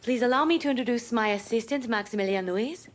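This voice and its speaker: A woman's voice: a little high, fast talker, close to an everyday voice. A woman playing a poised, gushing sports agent in a naturalistic game cutscene. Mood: polite, gushing.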